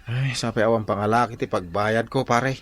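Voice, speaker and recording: A man's voice: mid-range voice, steady tone, normal speed, no echo, close to an everyday voice. A man with an Ilocano accent playing a blunt, scolding farmer husband and father in a comedy radio drama, given to exclamations. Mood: weary, resigned.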